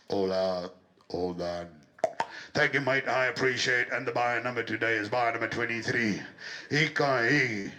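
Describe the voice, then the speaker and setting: A man's voice: mid-range voice, pushed and bright. A man working as a livestock auctioneer, rattling off bids in a rhythmic chant and switching between English and Afrikaans.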